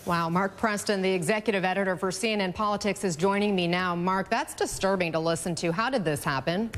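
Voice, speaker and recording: A woman's voice: mid-range voice, brisk, in a large hall, a little hiss or hum. A woman presenting broadcast news as an anchor.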